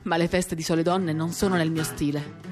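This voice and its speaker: Woman doing a dramatic radio book reading, lowish voice, expressive, bit of guitar music underneath. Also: normal speed. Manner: expressive, theatrical, shifting from animated to hushed. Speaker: reader performing a literary radio reading. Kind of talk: dramatic first-person literary narration. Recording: acoustic guitar accompaniment.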